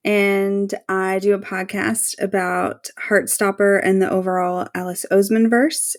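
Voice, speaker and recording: A woman guest on a podcast, chatting casually and informally as a fan. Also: medium pitch.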